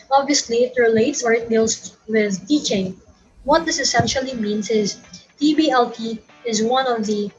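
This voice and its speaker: A woman's voice: fairly high pitch, breathy edge, rough recording. Filipino woman giving a class lecture. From Philippines. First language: Tagalog. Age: young adult. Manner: explanatory, often corrects herself mid-sentence. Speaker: student presenter.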